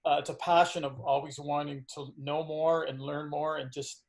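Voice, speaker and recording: Karate instructor hosting a video chat, slightly higher male voice, easygoing and full of fillers. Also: moderate pace. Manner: relaxed, chatty, frequent fillers. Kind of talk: casual video interview.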